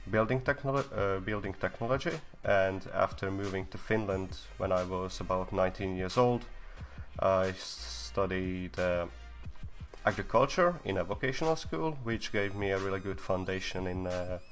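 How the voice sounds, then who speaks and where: A man's voice: sits low, normal speed, soft background music. A young man from Slovakia whose first language is Hungarian, casually introducing himself as a co-host on a live stream.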